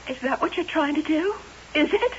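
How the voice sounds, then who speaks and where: Jealous, high-voiced radio-drama wife. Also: female voice, animated, normal speed. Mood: indignant. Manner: plaintive, accusing, dramatic. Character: jealous, wounded, sharp-tongued showgirl wife. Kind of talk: old-time radio drama acting. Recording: muffled, hissy old radio broadcast.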